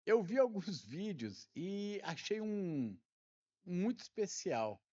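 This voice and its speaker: A Brazilian man hosting a podcast with a Brazilian Portuguese accent, asking questions in a halting way with restarts. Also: high voice, very animated, mellow, dry recording. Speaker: podcast host. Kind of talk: interview questions. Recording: dry, dull sound.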